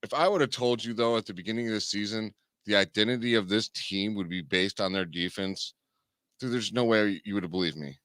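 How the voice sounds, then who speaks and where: A tired man co-hosts a sports talk show, excitable and full of slangy jokes, his voice close to giving out. His voice is low but smooth and bright. The sound is dry and close. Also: brisk, no room sound. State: tired, voice nearly gone.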